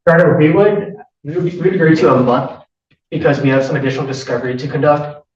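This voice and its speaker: A man's voice: a little high, quick pace. A young man from Boston, a law student, addressing the judge politely and deferentially in a courtroom. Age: young adult. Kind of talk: courtroom argument. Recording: echoey room, distant, muffled.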